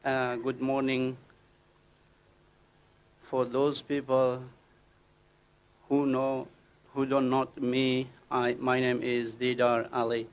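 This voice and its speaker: Slow, halting man from Afghanistan. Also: medium pitch, completely flat delivery, clean tone, low-quality recording. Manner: halting, with restarts and broken grammar. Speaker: guest speaker. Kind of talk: prepared speech at a ceremony.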